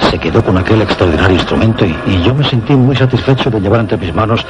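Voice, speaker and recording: An actor with a Castilian accent playing an astonished researcher who recounts an eerie mystery in a suspense radio play, deliberate and full of dramatic pauses. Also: male voice, on the low side, close to an everyday voice. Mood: grave, suspenseful. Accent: Castilian Spanish. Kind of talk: Spanish suspense radio drama, first-person narration and dialogue. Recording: radio drama with prominent music behind.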